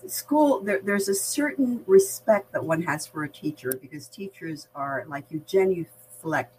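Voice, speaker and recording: Older woman, American accent, lowish register, measured and articulate interviewee, some noise and hum behind. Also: lively intonation, a little mellow, poor audio. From Barbados. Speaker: veteran diversity practitioner, podcast guest. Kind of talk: reflective conversational interview.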